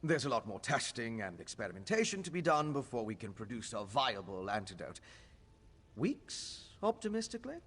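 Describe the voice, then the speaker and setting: Animated scholarly mage. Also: male voice, medium pitch, moderate pace, slightly rough. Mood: cautious, earnest. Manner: learned, formal, explanatory. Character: earnest scholarly male alchemist mage, eager but cautious. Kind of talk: video game voice acting.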